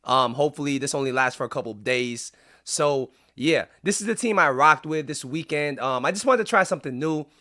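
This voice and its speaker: A man talking through video-game commentary in a casual, chatty way, sounding raspy because he is under the weather. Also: fairly high pitch, fairly quick, sharp tone. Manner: casual and chatty, with frequent "um" fillers. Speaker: YouTuber. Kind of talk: gaming commentary.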